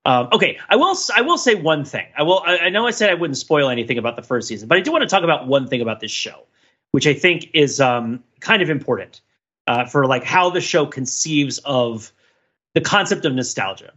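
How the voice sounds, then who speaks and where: A man with a bright, forceful voice talks casually as a podcast co-host. He circles around his points, backing up and rephrasing as he goes. The recording is a little dull and lo-fi. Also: on the high side, sing-song, husky. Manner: halting, digressive, self-correcting. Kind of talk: casual pop culture discussion.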